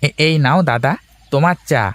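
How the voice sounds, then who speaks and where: A man's voice: on the high side, normal speed, a bit bright, natural voice. A man voicing a humble, sympathetic tea seller in a cartoon, with a hesitant, deferential way of talking. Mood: sympathetic, advising. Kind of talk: Bengali cartoon voice acting.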